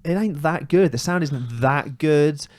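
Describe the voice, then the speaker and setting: A man's voice: fairly high pitch, a little slow, faint music behind. A British man co-hosting a comedy podcast, chatting casually with colloquial, jokey complaints and opinions.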